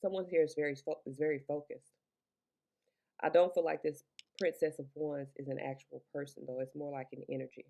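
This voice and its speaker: A deep-voiced woman with a gravelly, fry-laced edge gives a loose, chatty tarot reading. She rambles, swears in passing and talks into Bluetooth earbuds. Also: steady tone, fairly quick, mellow. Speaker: tarot reader. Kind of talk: casual spoken tarot reading.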